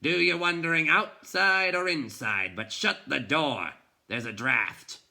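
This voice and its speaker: A man voicing a cross, gruff old storybook bookseller who grumbles and scolds with blustery scorn. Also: on the high side, a little slow, pushed and bright, pitched above the performer's own voice, heavily acted. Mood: cross, scolding. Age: older. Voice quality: gruff, growling.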